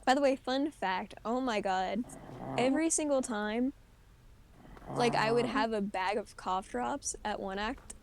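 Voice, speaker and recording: A young woman streamer with a high voice, chatting casually and rambling, often giggling and restarting her sentences. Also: unhurried, noisy background. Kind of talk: casual gaming chat.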